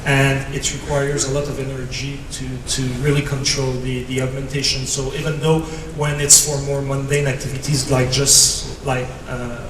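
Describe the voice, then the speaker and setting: A man answering as a game developer on a convention panel, halting and repeating words as he searches for phrasing. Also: mid-range voice, flat delivery. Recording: echoey hall, far from mic, noisy.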